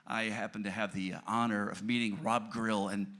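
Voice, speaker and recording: A man's voice: on the low side, little pitch movement, a little fast, fairly bright tone. A man on a panel telling a self-mocking story about how he started in music, chuckling as he talks, into a handheld mic. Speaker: band member, lead singer. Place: cruise ship event room before an audience. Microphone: handheld microphone.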